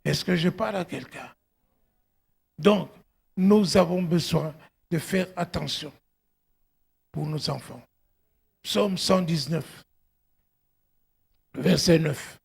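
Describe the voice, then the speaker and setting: A middle-aged man preaching as a pastor, emphatic and exhortative, often calling out to his congregation, with a husky, gravelly voice. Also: a little high, takes it slowly, long pauses. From outside Belgium, arrived at eighteen. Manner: emphatic, punctuated with repeated exclamations and questions to congregation. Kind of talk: exhortative sermon.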